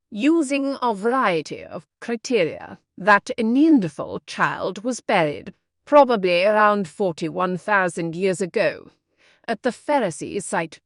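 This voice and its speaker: A woman's voice: mid-range voice, dry recording, studio quality. A woman reading a scripted practice passage aloud as narration. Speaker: exam practice reader.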